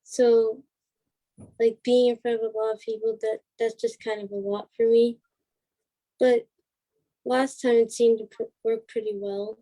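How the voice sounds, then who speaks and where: Shy woman, even and fairly high. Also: a little slow, long pauses, rough recording. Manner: shy, hesitant. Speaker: interview guest, stage actress. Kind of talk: casual chat.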